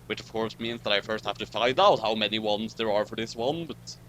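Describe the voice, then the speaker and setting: A man's voice: on the low side, brisk, a bit bright. Dry man hosting casual commentary, noisy recording. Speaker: commentary host. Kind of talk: casual group commentary on a story.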